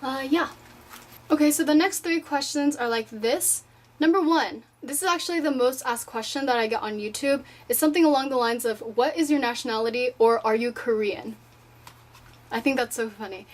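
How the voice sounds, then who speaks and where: An eighteen-year-old woman from Japan, her voice sitting a little high, chats through viewer questions from her bedroom. She talks loosely and rambles. She drops "like" into nearly every sentence. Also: normal speed. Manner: chatty, rambling, fills with "like" often. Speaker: vlogger answering viewer questions. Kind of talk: casual Q&A vlog. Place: her own room at home.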